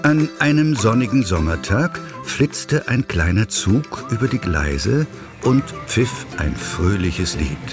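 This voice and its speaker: A man narrating a scripted children's story as an expressive storyteller. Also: lowish voice, takes it slowly. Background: music.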